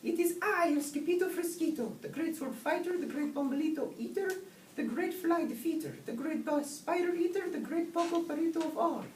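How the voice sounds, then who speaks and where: High excitable little kitten boy. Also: brisk. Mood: boastful. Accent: put-on Spanish. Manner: exclamatory, theatrical. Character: excitable boastful young Siamese kitten who thinks he's a chihuahua. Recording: roomy, distant, noisy recording.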